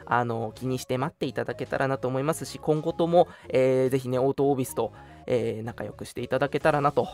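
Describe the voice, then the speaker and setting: A man's voice: medium pitch, darkish tone. A young man hosting an online radio show, making announcements in a polite, earnest, conversational way. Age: young adult.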